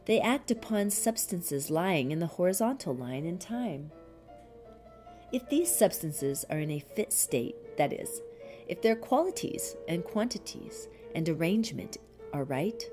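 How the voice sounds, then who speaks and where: A woman reading a spiritual commentary as an audiobook, in a measured, deliberate manner with frequent pauses. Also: on the low side, mellow.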